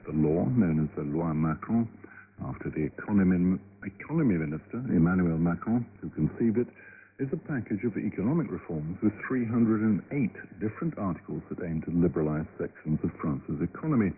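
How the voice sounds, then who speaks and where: A male news presenter with a fairly low voice reads scripted links. The shortwave sound is thin and phone-like, with clear noise. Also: animated, fairly quick. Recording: narrow, clearly noisy shortwave radio.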